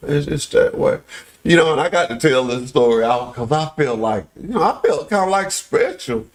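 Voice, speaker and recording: A man's voice: fairly high pitch, expressive, normal speed. A middle-aged man from Pensacola hosting a local talk show, telling folksy stories in casual Southern speech. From Pensacola, Florida.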